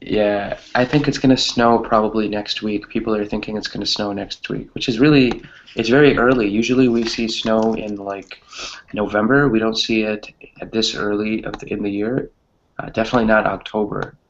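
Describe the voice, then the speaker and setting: Male online class host, lowish pitch, casual and hesitant, lo-fi sound. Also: fairly even intonation, normal speed. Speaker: online English class teacher. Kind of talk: casual chat with students.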